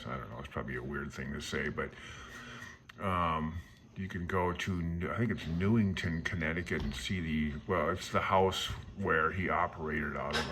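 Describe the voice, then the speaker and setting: A man with a low, forward, bright-edged voice talks through a book in a casual hobbyist style. He is chatty and amused, drifting into asides as he goes. Faint noise sits behind him. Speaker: amateur radio hobbyist host. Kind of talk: casual rambling commentary on a book.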